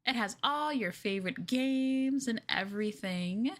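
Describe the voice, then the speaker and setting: A woman's voice: a little high, measured pace, a bit bright. A woman playing an obsessive, possessive girlfriend in an ASMR roleplay, sweetly menacing and coaxing the listener in a cooing manner. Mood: sweetly controlling. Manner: cooing, coaxing, breaking into giggles and whispers.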